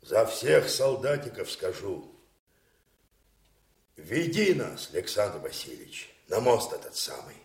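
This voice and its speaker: An actor playing a loyal old veteran soldier, plain-spoken and folksy, in a theatrical radio drama. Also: male voice, lowish voice, very animated, heavily acted. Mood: heartfelt, rousing. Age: older. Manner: folksy, unhurried, heartfelt. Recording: roomy, echoing radio studio sound.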